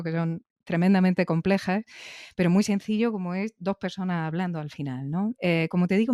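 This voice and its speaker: A man's voice: high-pitched, darkish tone, no echo, clean studio sound. A man with an accent from Spain interviewing conversationally on a podcast, wordy and effusive, repeating himself.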